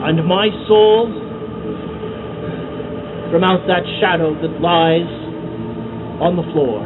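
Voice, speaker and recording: Slow theatrical man reading poetry outdoors. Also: sits high, music in the background. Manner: theatrical, measured, deliberate pauses. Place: outdoors at night by a cornfield. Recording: muffled amateur outdoor recording, loud background noise.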